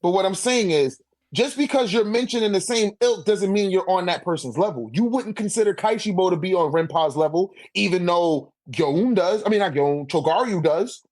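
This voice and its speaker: A man's voice: high voice, moderate pace, darkish tone. A man in a casual debate, talking in heavy slang with swearing and a repeated check that the listener follows. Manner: slangy, profane, repeats "you know what I'm saying". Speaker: debater on a manga ranking podcast.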